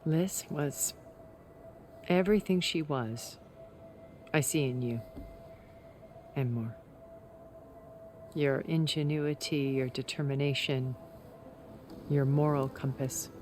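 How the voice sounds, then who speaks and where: An actress playing a poised, calculating middle-aged woman in a video game, speaking in a measured, articulate, self-assured way. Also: sits low, a little mellow, soft background music. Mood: fond, admiring. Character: poised, calculating woman, ageless schemer from space. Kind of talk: video game cutscene acting.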